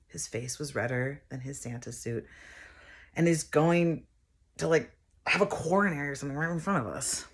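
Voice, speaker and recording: A woman playing a harried, exasperated stepfather in a theatrical monologue, telling the story in a halting, conversational way. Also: low-pitched, breathy edge, pitched below the performer's own voice. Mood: weary, pitying. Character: harried, exasperated stepfather George recounting a Santa disaster.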